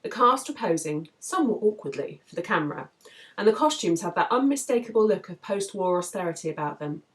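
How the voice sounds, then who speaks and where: A woman reading her own novel aloud in an expressive storytelling style, livelier when characters speak. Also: lowish voice, a little fast, rough recording.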